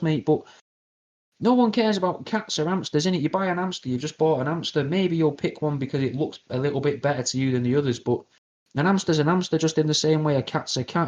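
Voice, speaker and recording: A British man hosting an internet radio show, delivering a crude, rambling comedic conspiracy monologue in a deadpan way. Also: fairly high pitch, dull audio. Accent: British English.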